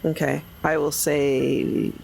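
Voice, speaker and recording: A woman's voice: low voice, little pitch movement, slow pace, vocal fry, darkish tone. A woman playing a tabletop role-playing game, casually describing what her character does. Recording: faint background noise.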